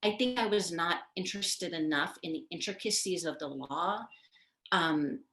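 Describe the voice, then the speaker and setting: A middle-aged woman, a film producer, chatting quickly with laughing asides on a video call from her living room. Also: fairly low pitch, a bit bright, reverberant. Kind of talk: casual conversation. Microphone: video call, far camera.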